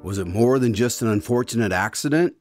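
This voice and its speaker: A man's voice: medium pitch, brisk, over music. A man hosting a scripted radio drama in an old-style presenter's manner. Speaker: radio show host.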